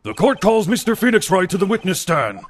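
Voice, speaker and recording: A man's voice: high-pitched, sing-song, brisk. A man voicing a stately, easily puzzled old judge in a game fan dub, speaking formally and ponderously. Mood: formal, mild.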